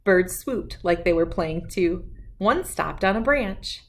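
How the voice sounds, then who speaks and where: A woman storyteller reads aloud for children at an unhurried pace. Her pitch moves freely up and down, and she phrases each line deliberately. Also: lively intonation. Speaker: children's book reader and host.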